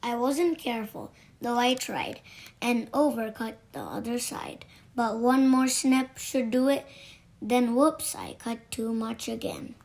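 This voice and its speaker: A woman reading children's poems aloud from a storybook in a deliberate way. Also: a little high, vocal fry, a little mellow. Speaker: storybook reader. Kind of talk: read-aloud children's poetry.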